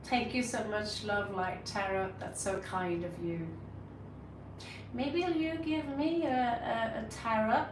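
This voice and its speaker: A blunt woman with a Norwegian accent chats with her livestream viewers in a sardonic, scolding way. She sits back from the mic in an echoey room with steady background noise. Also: a little high, slow, far from the mic. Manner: blunt, scolding, sarcastic asides to chat. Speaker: tarot reader livestream host. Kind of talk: casual live-stream chat answering viewers.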